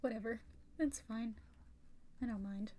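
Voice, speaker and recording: A young woman chatting casually and rambling on a livestream while drawing, swearing freely, her voice a little rough and raspy from talking for a long time. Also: fairly high pitch, a little slow, a little mellow. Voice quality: slightly raspy. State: tired, sore throat from long talking. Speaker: art streamer.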